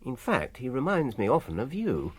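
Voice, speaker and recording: A British man playing a gentle, earnest, weary hobbit adventurer in a radio drama, speaking in a hesitant, halting and expressive way. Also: medium pitch. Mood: fond, hesitant.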